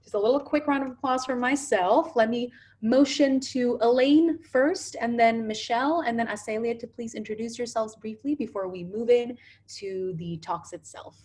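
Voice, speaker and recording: A woman's voice: fairly high pitch, normal speed, darkish tone. A woman hosting a youth panel over a video call, upbeat and peppering her talk with "you know" and "right?". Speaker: host.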